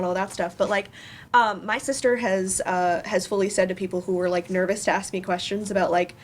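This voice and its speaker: Female panelist, mid-pitched, halting and informal, live room with faint noise. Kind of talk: casual panel discussion.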